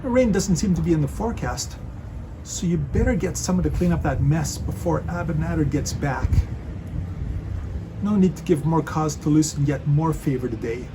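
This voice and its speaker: A man's voice: a little high, normal speed, noisy background, natural voice. A man playing a stern, loyal Roman soldier who scolds his comrade in a declamatory way, in a dramatic reading. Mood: irritated, scolding. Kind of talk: dramatic reading of a church passion play.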